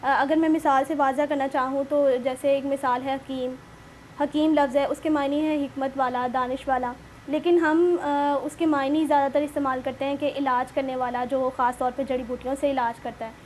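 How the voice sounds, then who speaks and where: A woman's voice: sits high, fairly even intonation, normal speed, darkish tone. A woman hosting a discussion in formal, literary phrasing. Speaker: show host. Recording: clearly noisy room recording.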